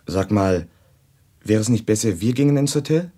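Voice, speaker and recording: An actor playing a young grown son, wry, laconic and amused, in a German radio drama. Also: male voice, fairly low pitch, lively intonation, normal speed, mellow, slightly rough. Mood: wry, placating. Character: wry, casual grown son of a quarrelling family.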